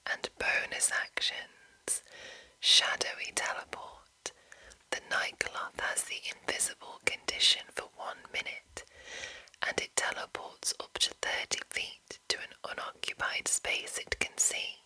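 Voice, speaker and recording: Slow whispering woman, ASMR. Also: bright, forceful tone, low-quality recording. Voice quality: breathy, unvoiced whisper. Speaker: host of a whispered ASMR channel. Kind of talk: whispered ASMR, reading and chatting.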